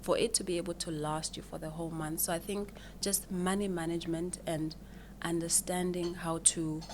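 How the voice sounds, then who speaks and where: A woman's voice: lowish voice, flat delivery, normal speed, a little mellow, slight background noise. A woman from Zimbabwe, a financial planner answering interview questions in an explanatory way, often repeating words as she thinks. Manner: explanatory, with frequent repeated words and small laughs.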